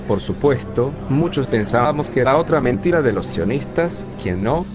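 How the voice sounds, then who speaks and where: A man's voice: mid-range voice, lightly acted. A synthetic male voice voicing a professor who bitterly recalls his days as a young refugee. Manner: bitter, sarcastic storytelling. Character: urban planning professor recalling his youth. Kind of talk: scripted narration. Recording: music bed underneath.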